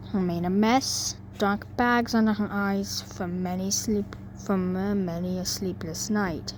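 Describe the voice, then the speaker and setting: A woman's voice: mid-range voice, darkish tone. A woman narrating a story in an amateur reading, stumbling over words and rereading them. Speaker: fan fiction reader. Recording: noisy amateur home recording.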